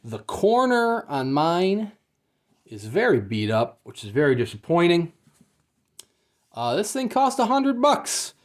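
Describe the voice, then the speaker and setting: A man's voice: on the high side, sing-song, slow pace. A man giving a casual, unscripted unboxing review as he goes, in a hesitant, understated and mildly let-down manner.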